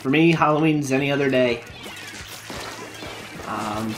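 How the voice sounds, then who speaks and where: A man chatting his way through a game stream, his voice worn and starting to go after hours of talking. His pitch sits mid-range, with faint game music playing underneath. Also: measured pace, low-quality recording. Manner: chatty, thinking aloud while playing. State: losing his voice. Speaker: gaming live streamer. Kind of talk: casual live-stream commentary.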